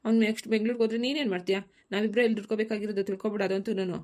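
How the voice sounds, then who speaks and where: A woman voicing a quick-tempered village farmer husband who bickers and coaxes in a family cartoon, with a rural southern Karnataka accent. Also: medium pitch, fairly even intonation, darkish tone, natural voice. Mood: irritated, belittling. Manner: bickering, colloquial, nagging questions.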